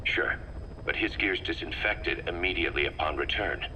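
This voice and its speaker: Tough army commander, very low pitch, hard-edged, terse, businesslike, game drama, slight tape noise. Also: male voice, moderate pace, sharp tone. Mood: tense, defensive. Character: tough, pragmatic male military commander. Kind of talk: dramatic video game voice acting. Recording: faintly noisy field tape.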